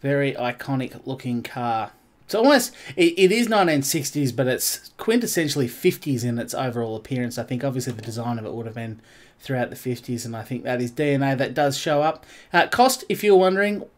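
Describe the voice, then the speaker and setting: A man's voice: mid-range voice, lively intonation, a little fast. A man commentating casually while he streams a racing game, stuffed up and nasal, rambling and greeting his viewers as he goes. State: congested, nasal.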